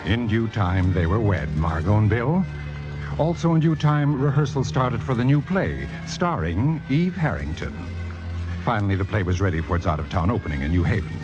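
An actor playing a suave, cynical man in a 1950s radio drama, urbane, sardonic and measured as narrator and character. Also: on the low side, sing-song. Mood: urbane storytelling. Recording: muffled vintage radio broadcast with music.

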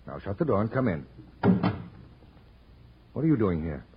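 A man's voice: on the low side, big pitch swings, moderate pace, slight background noise. A man playing a cool, commanding private detective in a 1940s radio drama, clipped, wry and self-assured. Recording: dull old radio recording.

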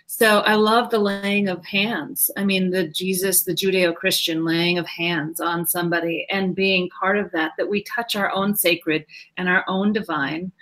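A woman's voice: lowish voice, steady tone, measured pace, a bit bright. Affirming woman guest speaking fluently. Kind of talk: conversational podcast interview.

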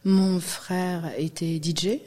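A woman's voice: lowish voice, little pitch movement, slow pace, warm tone. A middle-aged woman from Guadeloupe, a music industry guest, talking casually in an interview with many fillers and restarts.

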